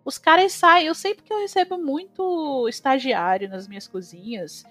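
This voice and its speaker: Animated Brazilian woman chef, opinionated. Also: high voice, moderate pace, soft background music. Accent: Brazilian Portuguese. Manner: animated, opinionated, rising sharply when emphatic. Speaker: chef, guest. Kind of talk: casual conversation.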